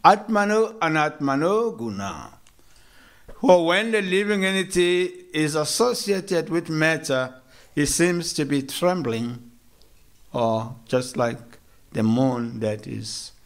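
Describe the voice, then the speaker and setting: A man from South Africa giving a morning sermon in a temple, slow and measured, with hesitant restarts and gentle humor. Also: mid-range voice. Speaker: monk giving a morning lecture. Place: temple hall.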